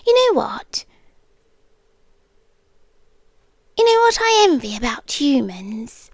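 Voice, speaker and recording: A British woman playing a possessive monster queen in an ASMR roleplay, speaking in hushed, deliberate tones that often slip into whispers. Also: sits high, sing-song, dry recording. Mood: coaxing, sly. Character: possessive monster queen, soft-spoken, menacing yandere lover. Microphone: close, dry microphone.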